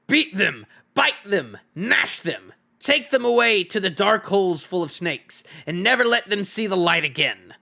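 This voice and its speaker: A man voicing an enraged great goblin lord, shouting murderous orders in a storybook reading. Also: high-pitched, big pitch swings, unhurried, vocal fry, few pauses, pitched above the performer's own voice, full character voice. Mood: raging. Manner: furious shouting.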